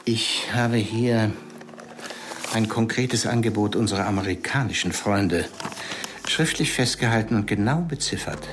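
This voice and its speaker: An actor playing a smooth, jovial and ruthless male power broker who talks in a hearty, genial tone in a radio drama. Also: on the low side, a little slow, background noise, natural voice. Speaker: politician.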